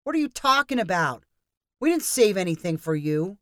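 A woman reading a story, voicing a loud, teasing male football fan standing in a line. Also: medium pitch, sing-song, moderate pace, dry recording, clean studio sound, close to an everyday voice.

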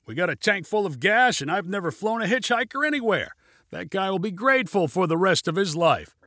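Man voicing a high, excitable daredevil pilot. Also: fairly quick, no room sound, clean studio sound, exaggerated voice. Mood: confident. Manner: folksy, loud, breezily confident. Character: reckless, cheerful male pilot, shouting with excitement.

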